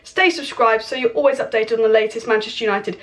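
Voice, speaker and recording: A young woman hosting a casual football transfer news update from home, hoarse as she loses her voice, chatty and opinionated, recorded on a camera mic. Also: fairly high pitch, quick pace. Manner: chatty, opinionated, speaks straight to viewers. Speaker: football channel host. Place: home with kitchen clatter nearby.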